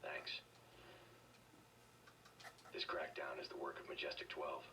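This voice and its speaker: A man playing a stoic, terse secret agent in a video game, speaking in a flat, deadpan way. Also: very deep voice, slow pace, distant mic, a little hiss or hum, heavily acted. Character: stoic gravel-low male secret agent, questioning locals.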